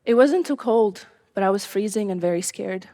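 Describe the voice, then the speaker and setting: Young syrian-born woman, earnest and measured, telling her story on stage, mid pitch. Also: expressive, warm tone. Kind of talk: live personal storytelling.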